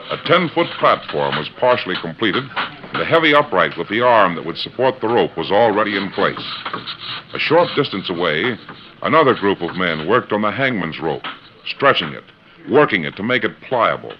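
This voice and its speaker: A man's voice: sits low, highs cut off. An American man narrating an old western radio serial in a grand, declamatory style. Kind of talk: 1930s-50s radio western narration, dramatic scripted. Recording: noisy band-limited old radio recording.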